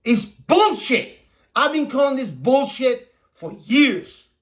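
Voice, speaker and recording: A man who is a native Spanish speaker hosting a podcast, delivering an opinionated casual monologue in a blunt, profane, repetitive and halting manner. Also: very high voice, very animated, fairly bright tone. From Puerto Rico. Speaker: podcast host.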